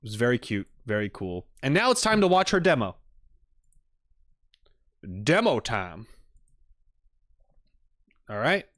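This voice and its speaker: A man's voice: mid-range voice, big pitch swings, unhurried, pauses often, a bit bright, no room sound. A man streaming casual reaction commentary, rambling expressively and thinking aloud into a close mic. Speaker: streamer reacting to game videos.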